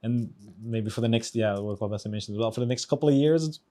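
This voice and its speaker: A man with a Dutch accent in casual podcast chat, halting with fillers and restarts. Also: fairly low pitch, mellow. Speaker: podcast co-host. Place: Japanese company.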